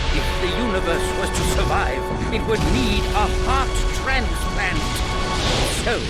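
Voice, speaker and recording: Genial old scientist host, fussy and rambling, high lively delivery over game score. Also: male voice, exaggerated voice. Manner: bumbling, chuckling, fussy with fillers. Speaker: host of an instructional recording. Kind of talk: video game cutscene acting. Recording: game score playing behind.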